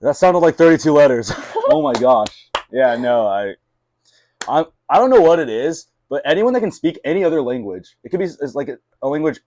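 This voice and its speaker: A man's voice: on the high side, expressive, fairly quick, darkish tone, dry recording. Young man hosting a casual podcast chat. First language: Mandarin. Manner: rambling, filler-heavy, many restarts. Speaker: podcast host.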